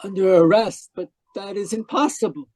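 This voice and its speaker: A man's voice: high-pitched, moderate pace, a little mellow, no room sound. A man playing an anguished, deluded murderer in an old-time radio drama, pleading and melodramatic. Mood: disbelieving, desperate. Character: anguished, deluded male murderer convinced he is dead.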